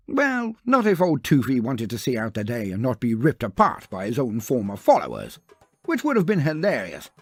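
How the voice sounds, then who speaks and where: Husky man narrating with dramatic, swooping delivery. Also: mid-range voice. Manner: pompous, wordy, dramatic pauses. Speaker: storyteller narrator. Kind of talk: theatrical scripted fantasy narration.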